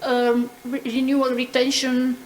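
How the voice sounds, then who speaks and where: A woman's voice: sits high, monotone, a little slow, a little hiss or hum, rough recording. A woman panelist explaining things at a conference discussion, often checking in with short rhetorical tag questions. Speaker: panelist, product executive.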